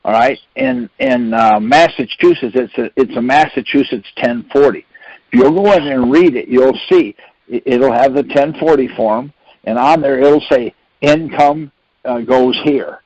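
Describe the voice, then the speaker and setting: A man's voice: medium pitch, a little slow, a little mellow, dry recording. A man leading a conference call, giving an informal instructional talk in a folksy way, with rhetorical questions and frequent check-ins. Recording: dull lo-fi conference call audio.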